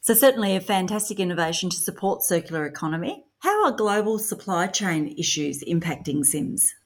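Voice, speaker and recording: A woman podcast host, reading an ad script and asking interview questions in a smooth, measured way. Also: fairly low pitch, very animated.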